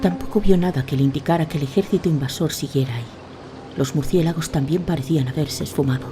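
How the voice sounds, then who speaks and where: A woman's voice: low-pitched, quick pace. A woman giving scripted, dramatic narration of a fantasy tale. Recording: voice over a prominent music bed.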